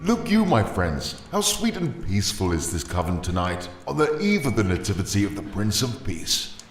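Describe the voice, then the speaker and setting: A man playing a gentle, earnest missionary priest in an audio drama, speaking in solemn, archaic oratory. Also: lowish voice, big pitch swings, moderate pace. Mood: fervent, solemn. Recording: roomy echo, music behind.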